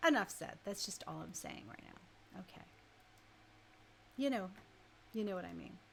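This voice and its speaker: A woman radio host chatting casually and informally, thinking out loud as she shares her opinions. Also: medium pitch, wildly swooping pitch, normal speed, gravelly, slight background noise.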